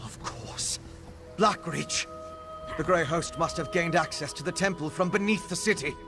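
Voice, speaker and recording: A man playing a level-headed adviser in a fantasy video game, speaking in a measured, deliberate manner. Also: a little high, music in the background, natural voice. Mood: focused.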